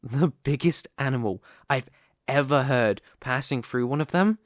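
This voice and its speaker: A man's voice: medium pitch, no echo, clean studio sound, natural voice. A man playing a proud, wary male elf hunter who is hostile to humans, stern and indignant, in an ASMR roleplay. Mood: stern, guarded. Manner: stern, indignant, halting when baffled.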